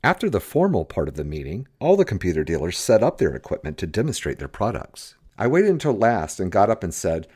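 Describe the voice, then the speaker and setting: A man reading an audiobook as narrator, telling a story in an animated, scripted style with acted dialogue. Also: low voice, brisk, few pauses, husky.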